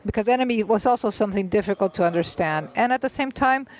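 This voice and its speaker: An interpreter voicing a literary festival panel into English on the fly, speaking in short halting bursts with many filled pauses and restarts. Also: medium pitch, a little hiss or hum.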